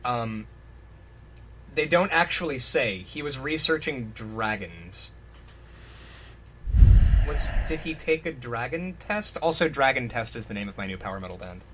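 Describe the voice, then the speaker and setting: A man's voice: mid-range voice, expressive, unhurried, pauses often, background noise. A man giving a comedic reading with casual riffing and sweary, exasperated asides. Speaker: co-host and reader.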